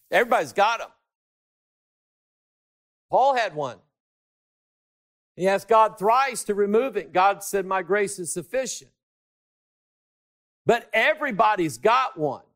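A man preaching a sermon, swinging from shouted emphasis to hushed asides and stretching key words to drive them home. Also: high voice.

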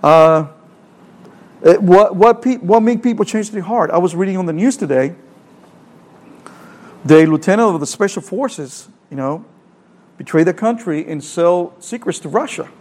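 A man's voice: high voice, lively intonation, moderate pace, long pauses, a little mellow. A middle-aged man from El Salvador with a Salvadoran Spanish accent, preaching a sermon earnestly, asking rhetorical questions and repeating phrases.

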